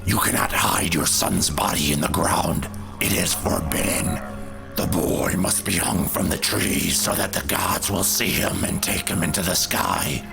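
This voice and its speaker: A stern believer condemning heretics in a fantasy motion comic, slow, solemn, deep gravel. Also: male voice, exaggerated voice. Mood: stern reproach. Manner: solemn, admonishing. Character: stern, pious alien tribesman, zealous and condemning. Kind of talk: dramatic motion comic voice acting. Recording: loud music behind.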